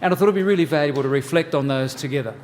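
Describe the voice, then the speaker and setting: A man's voice: fairly high pitch, rapid-fire, in a large hall, a little hiss or hum. Joking Australian man in his sixties. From New South Wales, Australia. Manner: relaxed, joking, many "uh" fillers. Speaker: family ministries pastor. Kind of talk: church workshop talk. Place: church hall.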